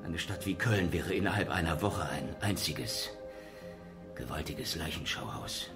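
An actor playing a rational, lecturing doctor with a measured, formal manner in a horror radio drama. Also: male voice, deep voice, animated, music in the background. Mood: grave, lecturing. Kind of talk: German radio drama acting.

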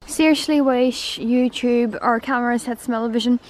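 High-voiced woman chatting on a woodland walk. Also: steady tone, moderate pace, darkish tone, slight background noise. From Northern Ireland. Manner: chatty, enthusiastic, talking while walking outdoors. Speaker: travel vlogger. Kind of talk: casual vlog narration while walking. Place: outdoors in woodland park.

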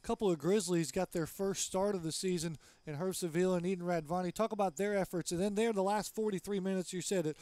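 A man in his thirties hosting a postgame interview with brisk broadcaster delivery, on a headset mic in a small studio. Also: high voice, steady tone, darkish tone, no echo. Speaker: sports network host. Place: small studio with green backdrop.

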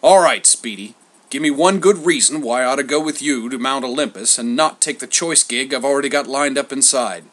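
A man voicing a cranky old male poet in a storybook, with a blustering, gruff, grumbling way of talking. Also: mid-range voice, fairly quick, fairly bright tone, pitched above the performer's own voice, lightly acted. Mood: grudging, gruff.

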